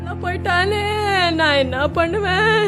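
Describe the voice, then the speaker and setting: An actress gives voice to a heartbroken village mother, wailing and sobbing in a very high, piercing voice that drags slowly through each cry. A music score plays clearly underneath her lament. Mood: wailing grief. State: crying. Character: grieving village mother mourning her dead son. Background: background music.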